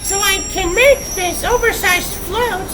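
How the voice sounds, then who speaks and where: Very high-pitched cartoon cat sorceress. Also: female voice, over loud music. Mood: urgent, strained. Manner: theatrical, drawn-out, punning. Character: female cartoon cat sorceress superhero, sassy and theatrical. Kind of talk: comic book dub acting.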